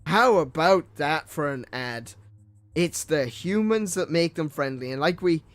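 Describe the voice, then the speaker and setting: A man's voice: on the high side, expressive, a little slow, fairly bright tone, dry recording. Man stumbling through old computer ads. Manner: stumbling over words, laughing at himself. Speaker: gaming streamer. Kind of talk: reading old ads aloud with commentary.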